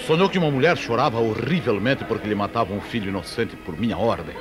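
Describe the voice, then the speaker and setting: An actor playing a troubled, disdainful Roman governor, grave and commanding, in a religious drama. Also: male voice, fairly low pitch, fast talker, close to an everyday voice. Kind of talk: religious radio drama acting. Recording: music and crowd behind the voice.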